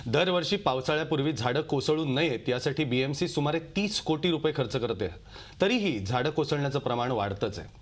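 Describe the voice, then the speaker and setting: A man's voice: a little high, animated, brisk. A man reading scripted news as a television anchor. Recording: music bed under the voice.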